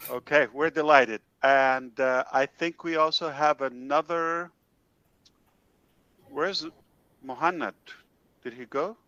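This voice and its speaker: A man's voice: a little high, pushed and bright, clean tone, no echo, dull audio. A man hosting an online team meeting in an effusive, welcoming manner on a video call. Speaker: host.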